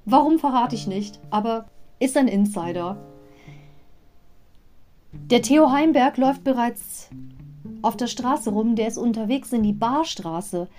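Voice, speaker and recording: Woman podcaster w a high voice, chatty animated retelling w little asides, soft guitar behind. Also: a little slow, pauses often. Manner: animated fan commentary with asides. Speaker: podcast host. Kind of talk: chatty retelling of an audio play.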